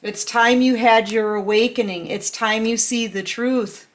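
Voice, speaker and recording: A woman doing a casual tarot reading, chatty and affectionate, full of fillers and restarts, a little sick and clearing her throat. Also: a little high, fairly even intonation, measured pace. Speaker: tarot reader.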